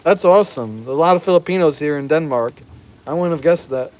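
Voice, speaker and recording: A man's voice: a little high, a little fast, a little mellow. Chatty American man, rain falling behind. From United States. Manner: chatty, laughs often, stumbles over words. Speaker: vlogger reading live chat. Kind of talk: casual live stream chat. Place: outdoors in the rain.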